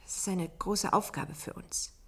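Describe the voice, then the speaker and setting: An actress playing an earnest, idealistic researcher in a radio play, explaining herself with conviction. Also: female voice, fairly low pitch, moderate pace, husky. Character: earnest, idealistic woman absorbed in language research.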